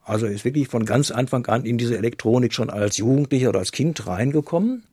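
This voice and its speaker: A man's voice: mid-range voice, animated, fast, dark tone, breathy edge, dry recording, clean studio sound. A man giving spoken explanations as an interviewed expert.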